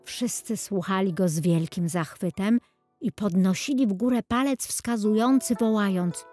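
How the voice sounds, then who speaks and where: A woman reading a children's storybook as a scripted, expressive and deliberate audiobook narration. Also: medium pitch, soft background music.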